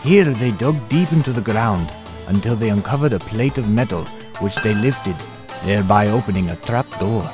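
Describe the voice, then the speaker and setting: Low-voiced prince telling his tale acted by a man. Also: moderate pace, lightly acted. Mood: earnest storytelling. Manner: formal, archaic, expressive. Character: young prince recounting his perilous sea voyage. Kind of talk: dramatic first-person storytelling, audio drama. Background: music.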